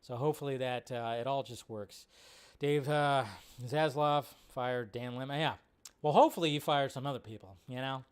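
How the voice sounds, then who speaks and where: A man's voice: mid-range voice, normal speed, clean tone. A man hosting a livestream in a casual, off-the-cuff way, rambling and repeating phrases as he chats with viewers. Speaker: livestream host. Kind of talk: casual live chat, reading and answering viewer questions.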